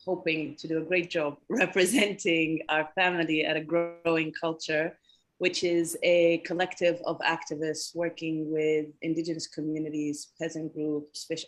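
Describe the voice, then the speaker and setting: A woman from Sudan introducing herself as a panelist from an activist collective, expressive and laughing between phrases. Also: deep voice, normal speed, soft background music. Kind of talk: warm self-introduction. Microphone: video call.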